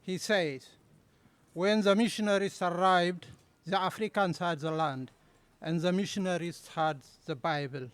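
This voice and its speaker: High, clear male poet with Ethiopian accent. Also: steady tone, unhurried, dry recording. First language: Amharic. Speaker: poet reading own work. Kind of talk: live poetry reading. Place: outdoors, live poetry reading.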